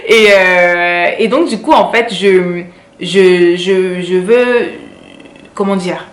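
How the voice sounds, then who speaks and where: A woman's voice: fairly low pitch, slow pace, reverberant. A woman from Cameroon with a French accent, chatting to her viewers in a casual vlog, repeating words for emphasis. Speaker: vlogger.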